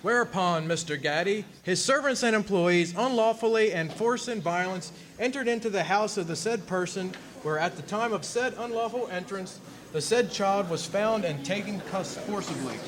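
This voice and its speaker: An actor playing an earnest, eloquent early-1900s attorney, speaking formally in a radio drama. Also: male voice, sits high, normal speed, a bit bright, slight background noise. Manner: formal, oratorical. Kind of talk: radio drama courtroom speech.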